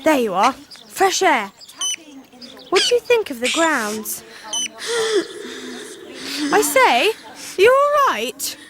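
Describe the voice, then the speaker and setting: Spirited Victorian daughter: high, lively English voice, earnest and outspoken, radio drama. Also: female voice, slow, long pauses, background noise, exaggerated voice. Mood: curious, musing. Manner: earnest, outspoken, well-spoken. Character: spirited, rebellious Victorian girl, a daughter of the house. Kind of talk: 1990s British radio drama acting.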